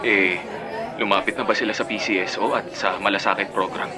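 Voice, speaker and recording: A man's voice: fairly low pitch, fairly even intonation, brisk, natural voice. A man with a Filipino accent playing a practical, sceptical but polite grown son in a radio drama. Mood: incredulous. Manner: questioning, polite. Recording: music and outdoor crowd sounds behind.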